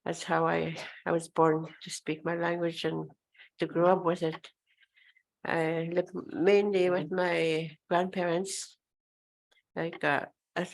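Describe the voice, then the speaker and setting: An older woman elder whose first language is Oji-Cree, speaking slowly and hesitantly at a gathering, often pausing and clearing her throat. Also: low voice, poor audio. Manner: slow, hesitant, many pauses and throat clearing. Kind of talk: informal talk at a gathering. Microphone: handheld microphone.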